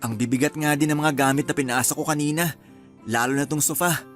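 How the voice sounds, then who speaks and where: A man's voice: on the high side, fairly even intonation, fairly quick, fairly bright tone, faint music behind, natural voice. A man playing a hardworking, loving young husband in a Filipino radio drama, affectionate and easygoing. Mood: pleased, easygoing.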